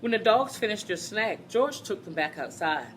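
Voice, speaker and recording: A woman's voice: mid-range voice, expressive, moderate pace, slight background noise. A woman reading a children's story aloud, expressively, addressing her young listeners directly.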